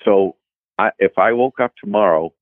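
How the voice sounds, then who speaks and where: Boston guy guesting over the phone, deep voice, kinda hesitant. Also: moderate pace, no echo. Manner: thoughtful, hesitant. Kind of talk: casual interview. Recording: tinny phone line.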